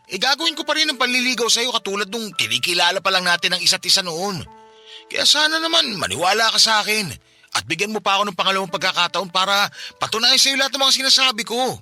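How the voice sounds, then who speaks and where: A man's voice: high-pitched, lively intonation, quick pace, sharp tone, soft background music, heavily acted. A man voicing a remorseful young fiancé who begs and apologises insistently in a Filipino-accented radio drama. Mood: earnest, apologetic. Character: remorseful, pleading young cheating fiancé begging forgiveness.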